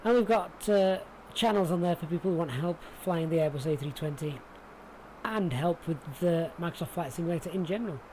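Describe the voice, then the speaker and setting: Man, Yorkshire accent, slightly high voice, informal livestream commentary, chatty, thinking aloud, noticeable background noise. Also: a little fast, darkish tone, low-quality recording. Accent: Yorkshire English. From Sheffield, England. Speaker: flight simulator livestreamer. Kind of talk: casual live-stream commentary, chatting with viewers.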